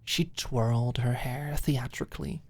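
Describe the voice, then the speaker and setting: A woman's voice: extremely low pitch, measured pace, a little mellow, breathy edge, dry recording. A woman narrating a scripted ASMR audiobook story, close to the microphone.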